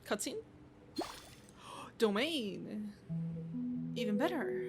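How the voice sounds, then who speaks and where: A woman's voice: medium pitch, expressive, very slow, gravelly. A woman streamer giving casual live reactions to a game as she plays. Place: streaming setup. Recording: loud game music behind.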